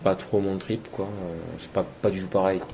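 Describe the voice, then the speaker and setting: Man DJ from Toulouse, very low voice, easygoing and rambling, interview. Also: flat delivery, fairly quick, a little mellow, slight background noise. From Toulouse, France. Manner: loose and rambling, trails off with fillers. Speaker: electronic music DJ and producer. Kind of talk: casual interview answers.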